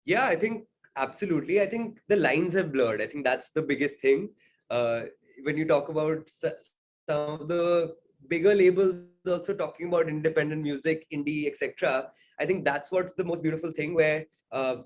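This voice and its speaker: A man's voice: fairly high pitch, moderate pace. A man being interviewed as a singer-songwriter, talking casually and thoughtfully, hedging often with "sort of" and "I think".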